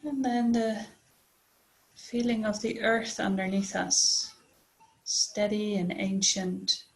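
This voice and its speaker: Slow-paced woman guiding meditation. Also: medium pitch, level tone, creaky, rough recording. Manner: gentle, unhurried, with long pauses. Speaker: meditation teacher. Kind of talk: guided body scan meditation.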